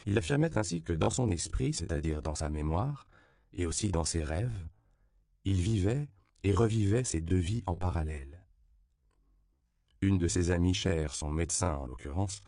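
A man reading a novel aloud as an audiobook narrator, in a calm, measured way. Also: low-pitched, gravelly, dry recording, studio quality.

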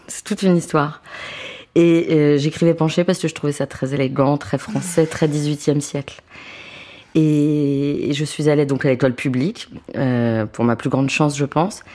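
A woman in her fifties, a translator and author, talking in a relaxed, thoughtful way with many small restarts, seated at home. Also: deep voice, normal speed. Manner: hesitant, thoughtful, repeats words when starting. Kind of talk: relaxed conversational interview. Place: apartment living room, seated on a sofa.

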